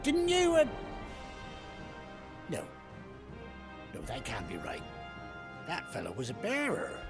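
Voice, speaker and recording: An actor playing a cheerful, muddle-headed older herder with an English accent, rambling and self-deprecating in a folksy way, for a video game. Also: male voice, high voice, heavily acted.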